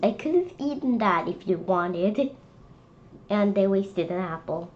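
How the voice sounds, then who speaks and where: Slow-paced young female read-aloud presenter. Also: mid-range voice, highs cut off. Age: young, of school age. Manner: expressive, calls out quoted lines loudly. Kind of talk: picture book read-aloud with chatty commentary.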